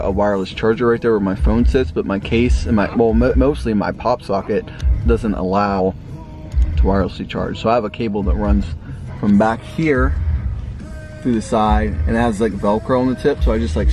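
A man's voice: on the low side, vocal fry, darkish tone. Young man vlogging, slightly impeded speech. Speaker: car vlogger. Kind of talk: casual chat to camera. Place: inside a parked or driving car, some outdoors in a parking lot.

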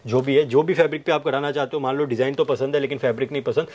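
A man in his thirties giving a sales pitch to camera, listing things with repetitive emphasis. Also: steady tone, rapid-fire, a little mellow, dull audio. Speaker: fabric manufacturer's representative presenting stock. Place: fabric showroom indoors. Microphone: clip-on wireless lapel mic.

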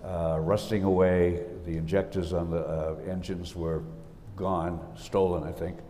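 An older man, a retired naval officer on a panel, recalling the past in a halting, folksy way. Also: little pitch movement, mellow. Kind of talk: recounting war memories. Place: large auditorium stage. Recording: hall reverb with faint rumble.

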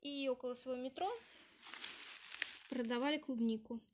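A woman's voice: high voice, fairly even intonation, normal speed. A young woman with a stuffy, nasal voice from a cold, chatting through a home vlog as she shows her purchases and lists their prices one by one. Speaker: vlogger. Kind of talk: casual vlog show-and-tell. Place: apartment at a family birthday. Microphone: handheld phone camera. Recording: noisy home phone recording.

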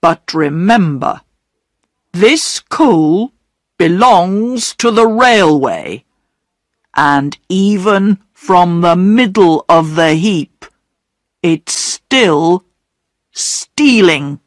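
Stern male station master played by a woman, storybook dialogue, weighty slow delivery, animated emphasis. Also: on the low side, dry recording, clean studio sound. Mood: firm, warning. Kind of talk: acted audiobook dialogue.

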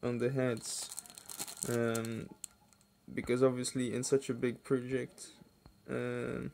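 A man with a creaky, fry-laden voice talks slowly through a casual hobby unboxing. He is hesitant and offhand, trailing off into asides. Plastic crinkles and clicks over faint background noise. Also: medium pitch, monotone, a little mellow. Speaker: hobby builder and video host. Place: indoors at a wooden table. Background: clicks and crinkling plastic bags.

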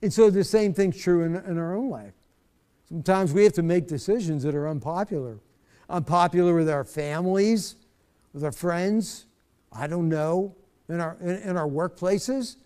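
A man's voice: high-pitched, moderate pace, dark tone, clean tone. A middle-aged man, a pastor, teaching a bible study from a church stage in a conversational way, often repeating words as he searches for them.

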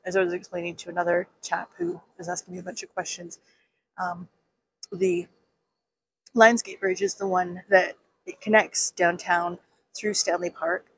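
A woman's voice: fairly low pitch, breathy edge, poor audio. Chatty woman vlogging outdoors by phone. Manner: chatty, many ums and restarts. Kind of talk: casual walking vlog. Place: outdoors on a city pier. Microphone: phone at arm's length.

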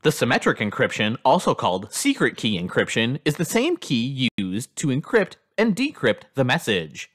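A man's voice: mid-range voice, huge pitch swings, normal speed, few pauses. A man reading a scripted instructional narration in an explanatory manner as a lesson narrator.

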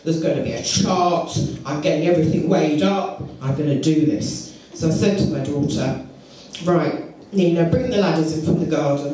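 A middle-aged woman doing a stand-up comedy set, telling stories and acting out other people's voices. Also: fairly low pitch. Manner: animated storytelling with acted-out voices. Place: comedy club stage. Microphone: handheld microphone. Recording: echoey club, from far off, crowd. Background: audience laughter.

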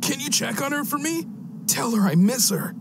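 A man's voice: very high-pitched, animated, moderate pace, background noise. A man voicing a vain, boastful teenage alien slacker in a cartoon game, cocky and melodramatic. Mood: self-important.

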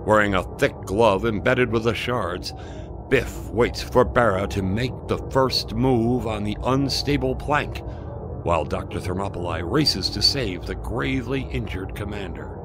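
A man's voice: fairly low pitch, measured pace, close to an everyday voice. A man playing the bombastic, dramatic announcer and narrator of an old-time space adventure radio serial. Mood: dramatic, urgent. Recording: music under the voice.

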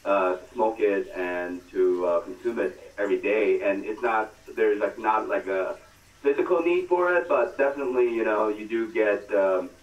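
A man in his forties, born in Korea and raised in America, calling in to a show and pausing often to search for words. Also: fairly low pitch, dull audio. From born in Korea, grew up in America. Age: 40s. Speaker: caller. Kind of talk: casual phone-in chat. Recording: distant, echoey call-in line.

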